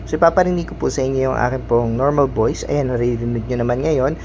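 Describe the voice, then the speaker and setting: A man's voice: mid-range voice, fast, a little mellow. A man hosting an informal step-by-step app tutorial, speaking politely and instructively. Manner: polite, instructional, repeats verbal tags. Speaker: tutorial host. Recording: clearly noisy background hum.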